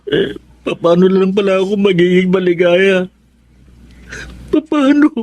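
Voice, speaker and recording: Plaintive, high-voiced lovelorn young man. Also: expressive, unhurried, darkish tone, no echo. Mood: despairing. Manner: plaintive, wounded, questioning. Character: lovelorn, self-pitying young man, eldest son who drinks. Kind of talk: Tagalog radio drama acting.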